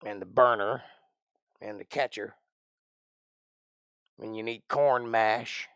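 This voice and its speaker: A man in his forties talks in a loose, folksy ramble, thinking aloud as he goes. His voice carries a gravelly edge, and the sound is dry and close to the mic. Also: fairly low pitch, steady tone, takes it slowly, fairly bright tone, no echo. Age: 40s. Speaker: live stream host. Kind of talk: casual chat answering live chat.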